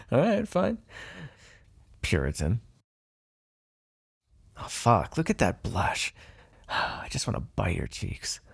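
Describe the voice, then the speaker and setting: A man's voice: mid-range voice, huge pitch swings, slow pace, vocal fry, pauses often, slightly rough, dry recording, studio quality, heavily acted. A man playing a smug, teasing vampire in an intimate ASMR roleplay, sardonic and flirtatious, possessive but fond. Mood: teasing, amused. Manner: sardonic, flirtatious, swearing, sighing.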